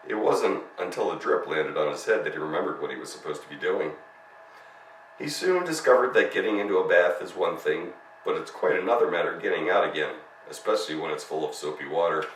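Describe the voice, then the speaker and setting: Deep-voiced man reading a children's story. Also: animated, a little fast, a bit bright. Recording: roomy, distant mic, faint noise.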